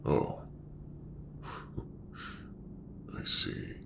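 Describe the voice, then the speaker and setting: A man playing a calm, shrewd, commanding ship captain in a sci-fi audio roleplay, with a very gravelly voice and measured, businesslike delivery. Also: background noise. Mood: unbothered.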